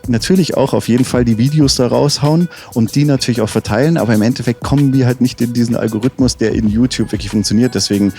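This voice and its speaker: A man's voice: mid-range voice, fast, hardly any pauses, mellow, faint music behind. A man as a rapper interview guest, chatting casually and enthusiastically with slang and frequent restarts. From Munich.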